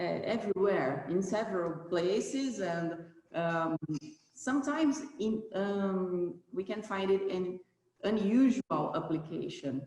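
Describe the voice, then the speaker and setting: A Brazilian woman, a native Portuguese speaker, answering interview questions about a company in halting English, searching for words. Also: mid-range voice, darkish tone, echoey room, poor audio. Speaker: company manager being interviewed.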